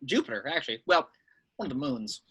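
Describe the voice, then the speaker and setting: A man reading the part of a brisk, dry-witted veteran secret agent in an amateur film script table read. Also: medium pitch, big pitch swings, pushed and bright, slightly rough, full character voice. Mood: dry, matter-of-fact.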